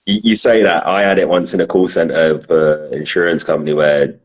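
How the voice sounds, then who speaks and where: A man hosts a casual podcast chat. He tells animated stories and swears freely as he goes. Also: extremely low pitch, normal speed, lo-fi sound, crowd noise. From South London. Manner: lively storyteller, swears freely. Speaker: podcast host.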